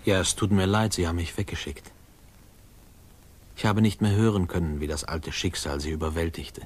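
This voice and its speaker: A man's voice: deep voice, steady tone, normal speed, slightly rough. An actor playing a grown man remembering his boyhood, narrating a 1950s radio drama in a reflective, lyrical way. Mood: wistful, reflective. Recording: faintly noisy old radio recording.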